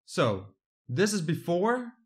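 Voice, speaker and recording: A man's voice: high-pitched, sing-song, slow. A young man from the Netherlands, narrating his hobby build step by step in a plain voice-over.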